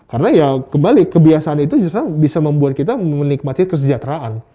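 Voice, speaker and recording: A man's voice: fairly high pitch, expressive, quick pace, warm tone. A man, speaking as a guest expert in a casual interview, explaining through rhetorical questions and examples. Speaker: guest expert on stock market investing.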